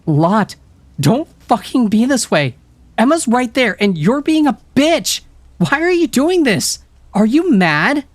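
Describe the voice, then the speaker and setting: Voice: medium pitch, big pitch swings, slow pace, dry recording, voice pushed up. A man voicing an angry teenage girl who scolds her friend. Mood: angry scolding. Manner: snapping, scolding.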